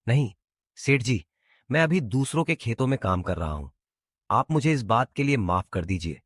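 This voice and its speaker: Cartoon bit part: meek village farmhand, played by a man, medium pitch, deferential and pleading, dry close recording. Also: very animated, normal speed, dry recording. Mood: humbly pleading. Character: humble, pleading poor male farm labourer. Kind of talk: Hindi moral cartoon story acting.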